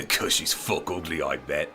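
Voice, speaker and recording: Guy doing a nasty gruff villager thug, deep and really sharp, menacing, bit of music behind. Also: fairly even intonation, unhurried, full character voice. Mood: cruel mockery. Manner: gruff, foul-mouthed, menacing. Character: surly, bitter, crude male village thug.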